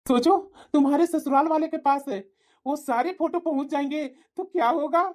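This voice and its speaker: A woman's voice: sits high, moderate pace, a little mellow, close to an everyday voice. A woman playing a smug ex-boyfriend turned blackmailer in a melodrama, mocking and taunting. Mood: smug, menacing. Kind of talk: melodramatic family story dialogue.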